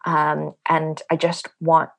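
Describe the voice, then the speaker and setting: Low-voiced hesitant New woman. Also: completely flat delivery, slow, gravelly, a bit bright. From New York. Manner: hesitant, many fillers, laughs often. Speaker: playwright. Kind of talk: podcast interview, thoughtful.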